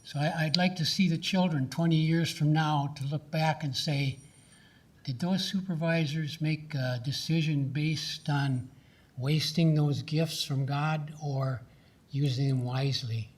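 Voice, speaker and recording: A man giving a halting, earnest public comment with a religious appeal at a county meeting. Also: fairly high pitch, steady tone.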